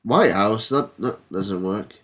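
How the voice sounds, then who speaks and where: A man's voice: lowish voice, slow pace, fairly bright tone. A teenage boy hosting a homemade comedy podcast, congested from a cold, telling an absurd story and chatting with hesitant, rambling ums. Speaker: podcast host. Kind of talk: comedy storytelling and casual chat.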